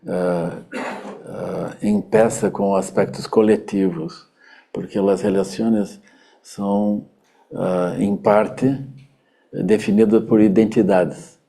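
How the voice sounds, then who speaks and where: A Brazilian man with a Portuguese accent gives a Buddhist talk very slowly, in a very dark, gravelly voice with a husky edge. He stops often, fills the gaps with soft fillers, and drifts between two languages. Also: fairly low pitch. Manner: hesitant, many pauses and fillers, drifts into Portuguese. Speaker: Buddhist teacher giving a public talk. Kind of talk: unhurried dharma talk.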